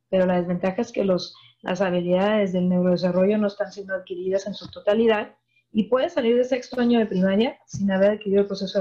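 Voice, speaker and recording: A woman's voice: medium pitch, mellow. A woman, a psychologist explaining things to parents in a virtual talk and often asking them rhetorical questions. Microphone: video call. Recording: muffled video call audio.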